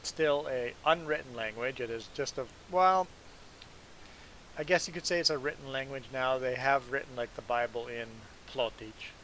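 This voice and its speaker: A man talks with his stream viewers in a loose, rambling way and often pokes fun at himself. His voice sits at a middling pitch. A faint hiss runs under the recording. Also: moderate pace, fairly bright tone, poor audio. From Belize. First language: Plautdietsch (Mennonite Low German). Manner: rambling, self-deprecating, chatty. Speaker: game streamer. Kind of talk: casual live-stream chat.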